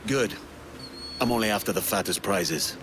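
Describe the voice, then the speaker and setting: A man's voice: lowish voice, moderate pace, noisy background, lightly acted. Man playing a cocky roguish pirate captain. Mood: sly, keen. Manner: wry, swaggering. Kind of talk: video game cinematic acting.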